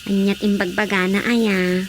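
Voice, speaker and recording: Nosy village woman, played by an actress, medium pitch, questioning and scolding, radio drama, faint noise. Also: little pitch movement, measured pace, close to an everyday voice. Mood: scolding, curious. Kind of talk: Ilocano radio drama acting. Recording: faint background noise with crickets.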